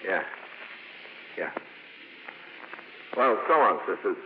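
Gruff American racketeer, soft-hearted, blunt halting slang, reverberant hall, narrow vintage radio sound. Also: male voice, sits low, big pitch swings, heavily acted. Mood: subdued, grieving. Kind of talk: 1950s radio drama acting. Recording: old radio broadcast, narrow, echoey, faint noise.

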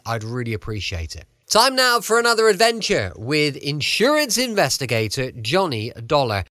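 A man's voice: fairly high pitch, pushed and bright, dry recording, studio quality. A man hosting a podcast from home, chatty and enthusiastic. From England. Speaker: podcast host. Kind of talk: casual podcast introduction.

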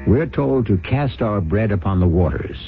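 Very deep-voiced man hosting radio mystery. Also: animated, normal speed. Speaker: host. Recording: narrow-band 1970s radio broadcast. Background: music.

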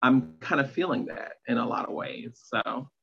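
A man's voice: mid-range voice, normal speed, gravelly. A man in his late thirties speaking candidly as a podcast guest, confiding and emphatic, on slightly muffled audio. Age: late 30s. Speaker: podcast guest, empowerment coach. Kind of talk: candid personal conversation.

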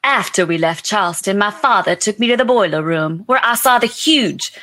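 Woman playing a boastful young Southern heir, bright. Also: medium pitch, lively intonation, moderate pace, dry recording. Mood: proud. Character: proud eldest plantation boy, heir to his father. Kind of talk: amateur dramatic reading at a launch party.

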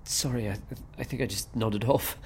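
A man's voice: mid-range voice, moderate pace, vocal fry, a little mellow, breathy edge. An actor playing an anxious, bewildered man trapped in a dream in an audio comedy drama, hesitant and pleading. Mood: confused.

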